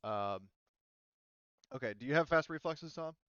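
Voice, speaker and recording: A man's voice: on the high side, animated, unhurried, fairly bright tone, lo-fi sound, a little hiss or hum. A man casually narrating a tabletop roleplaying game as game master, informal and prone to swearing.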